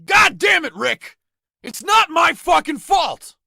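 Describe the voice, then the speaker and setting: Man acting hot-headed survivor, high forceful voice. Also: big pitch swings, takes it slowly, gravelly, dry recording, clean studio sound, exaggerated voice. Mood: furious, defensive. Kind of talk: comic dub voice acting.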